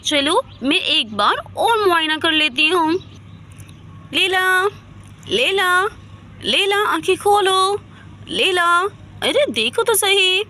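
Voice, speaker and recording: A woman's voice: very high voice, very animated, a little slow, hardly any pauses, bright, forceful tone, full character voice. A woman voicing a concerned, authoritative female doctor in a dramatised story, explaining firmly and reassuringly. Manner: instructive, emphatic, reassuring.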